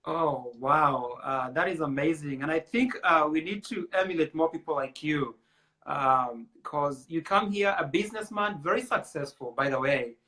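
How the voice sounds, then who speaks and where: Man, live-stream host, bright forceful voice, slightly high, lots of uhs. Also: normal speed, poor audio. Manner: many filler uhs, greets viewers by name. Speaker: show host. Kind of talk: casual live-stream chat.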